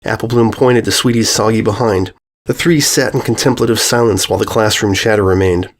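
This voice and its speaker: A man's voice: fairly low pitch, a little fast. A man narrating a scripted story as its reader.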